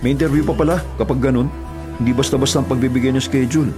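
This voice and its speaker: A man's voice: medium pitch, fairly even intonation, rapid-fire, close to an everyday voice. Man acting weary, earnest young Filipino delivery rider. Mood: resigned, earnest. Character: weary, devoted young male delivery rider, elder brother. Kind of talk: Tagalog radio drama acting. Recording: music bed behind the voice.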